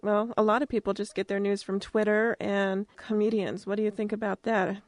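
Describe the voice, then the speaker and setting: An American woman with a medium-pitched voice in a dry studio. She hosts an informal campus radio interview, talking plainly and conversationally. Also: little pitch movement, a little fast, dry recording.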